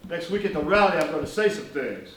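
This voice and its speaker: A man acting in a stage play as an embattled activist leader, earnest, wry and weary, with a deliberate theatrical delivery. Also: on the high side, very animated. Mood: insistent, serious. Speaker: stage actor. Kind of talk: live one-act stage play acting. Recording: very echoey stage, distant mic, faint noise.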